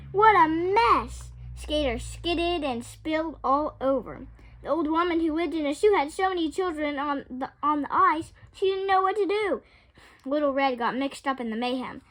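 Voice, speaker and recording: High-pitched woman reading a children's story. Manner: expressive, dramatic storytelling. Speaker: storytime reader. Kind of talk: children's picture-book read-aloud.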